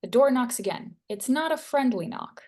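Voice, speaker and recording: A woman's voice: a little high, expressive, normal speed, darkish tone. A woman narrator reading screenplay stage directions at a table read.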